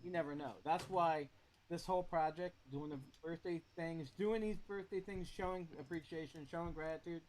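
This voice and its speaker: A man's voice: on the high side, steady tone, moderate pace, a little mellow, a little hiss or hum, rough recording. A man on a video call chatting about his guitar years, reflective and rambling with frequent restarts. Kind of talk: casual chat.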